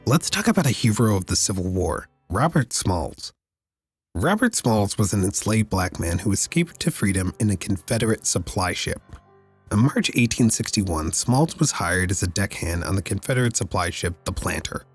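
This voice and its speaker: Casting: male podcast host, lowish voice, raspy and squeaky after illness, steady factual reads, faint music under. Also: moderate pace. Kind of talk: read history segments and casual sign-off.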